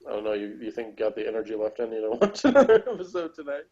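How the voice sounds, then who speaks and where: A man's voice: on the low side, big pitch swings, rapid-fire, darkish tone, highs cut off. A man chatting casually as co-host of a TV episode review.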